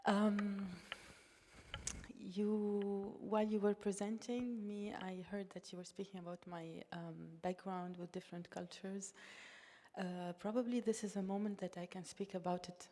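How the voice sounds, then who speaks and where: A woman's voice: lowish voice, mellow, long reverb tail. A woman who grew up in Tunisia with Russian as her first language, speaking earnestly as a panelist, often restarting her words. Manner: earnest, thoughtful, with frequent restarts. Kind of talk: panel discussion talk.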